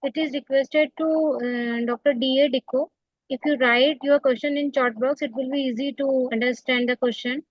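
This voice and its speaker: A woman's voice: high voice, fairly even intonation, normal speed, no room sound. A woman moderating an online session with formal, polite announcements, heard over dull, lo-fi call audio.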